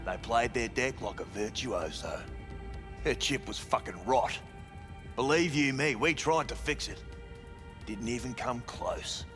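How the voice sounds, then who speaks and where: A man's voice: medium pitch, measured pace, over music, natural voice. An actor playing a sleazy, cynical male brothel manager in a cyberpunk video game, talking in crude, dismissive slang. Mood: matter-of-fact, cynical. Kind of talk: video game dialogue acting.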